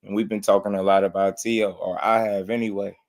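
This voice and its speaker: A man hosting a casual boxing livestream, slightly hoarse, greeting viewers with loose slang before getting into blunt commentary. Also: lowish voice, little pitch movement, fairly quick. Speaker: boxing show host.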